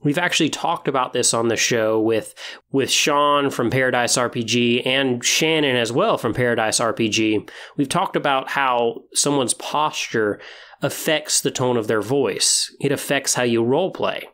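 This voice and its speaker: A man's voice: medium pitch, moderate pace, a bit bright. A man hosting a podcast, speaking directly to listeners in an encouraging, semi-scripted way. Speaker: podcast host. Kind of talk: semi-scripted podcast talk on tabletop roleplaying.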